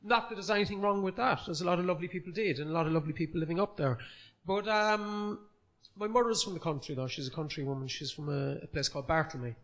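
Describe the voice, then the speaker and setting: A man's voice: a little high, fast talker, fairly bright tone. A man in his forties with a Cork accent, a comedian guest chatting on a live podcast, rambling through self-deprecating asides. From Cork City, Ireland. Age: 40s. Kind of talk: casual podcast chat. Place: comedy club, live recording.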